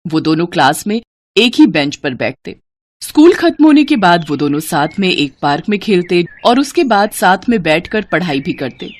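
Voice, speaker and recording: Lively woman narrating a moral story. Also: lowish voice, normal speed.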